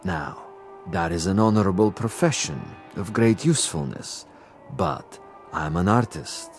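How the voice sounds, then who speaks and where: A man's voice: sits low, measured pace, faint music behind. A man playing a cultured, menacing commissar and assassin in a drama, speaking with theatrical mock courtesy and relishing his words. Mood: coolly menacing. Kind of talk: dramatic TV-style dialogue acting.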